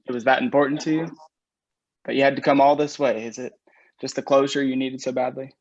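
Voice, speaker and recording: A man's voice: medium pitch, fairly even intonation, fairly quick, creaky. A man playing a bitter, cursing groom. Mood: weary. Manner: sharp, sarcastic, swears often. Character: bitter, cursing groom on his wedding day. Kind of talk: staged play reading over video call. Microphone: video call. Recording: muffled video call audio.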